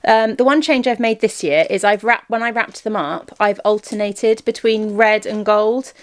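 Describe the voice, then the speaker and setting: A woman's voice: mid-range voice, fairly even intonation, normal speed. A British woman chatting informally to viewers in a casual vlog, thinking aloud as she shows things. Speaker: vlogger.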